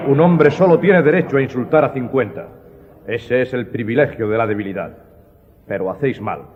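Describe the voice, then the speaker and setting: An actor playing a stern, honour-bound leader of a secret club, formal and commanding, in a radio drama. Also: male voice, mid-range voice, a little fast, natural voice. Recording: muffled phone-like sound, long reverb.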